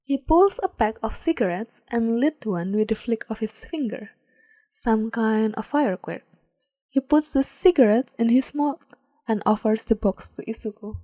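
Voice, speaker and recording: A woman reading fan fiction aloud as a podfic, with calm, measured storytelling. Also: fairly high pitch. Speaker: podfic reader.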